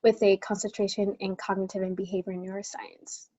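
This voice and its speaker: Female, 20s, student interview guest, mid-pitched, casual and slightly hesitant, on mildly muffled call audio. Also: flat delivery, a little fast, warm tone, husky. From born in Tanzania, in the United States since childhood. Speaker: guest, college student advocate.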